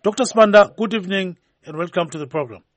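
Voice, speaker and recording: A man's voice: high voice, fast talker. A man with a Zimbabwean accent co-hosting a live talk show, presenting and reading out messages in English and Ndebele. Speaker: radio host.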